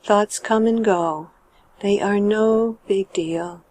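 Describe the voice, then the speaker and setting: Soft-toned, mellow woman guiding meditation. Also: medium pitch, no echo. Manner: calm, measured, gentle phrasing with trailing sentence ends. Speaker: meditation guide. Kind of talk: guided meditation narration.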